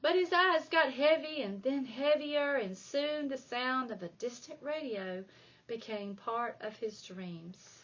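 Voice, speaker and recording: Slow, expressive woman reading a storybook. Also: sits high, lo-fi sound. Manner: dramatic emphasis, drawn-out key words. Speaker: primary school staff member reading aloud. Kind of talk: expressive children's storybook narration.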